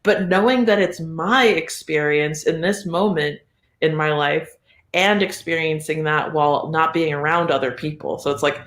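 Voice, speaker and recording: A podcast guest with a mid-pitched voice, talking casually and reflectively in a meandering, thoughtful way with a readiness to chuckle. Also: moderate pace, a bit bright. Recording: roomy, echoey sound.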